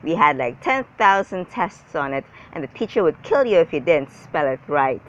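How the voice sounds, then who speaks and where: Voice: medium pitch, sing-song, moderate pace, close to an everyday voice. A reader doing a chatty, emphatic schoolgirl, muffled. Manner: emphatic, exaggerating.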